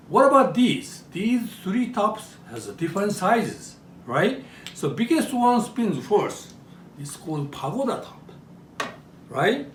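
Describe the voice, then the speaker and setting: A man with a Japanese accent presents a hands-on demonstration in a slow, highly animated delivery. He keeps putting little checking questions to his listeners. The recording is roomy and distant, with some background noise. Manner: frequent checking questions to the audience. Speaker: presenter demonstrating traditional toys. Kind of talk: live show-and-tell demonstration.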